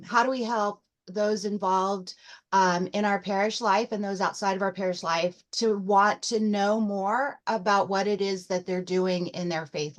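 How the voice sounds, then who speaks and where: A middle-aged woman who has long worked in the church, asking a thoughtful question in an online meeting and pausing to find her words. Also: medium pitch.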